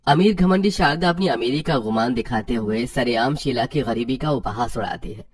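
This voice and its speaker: A man's voice: medium pitch, moderate pace, slightly rough, recorded from a distance. A man narrating a scripted moral story.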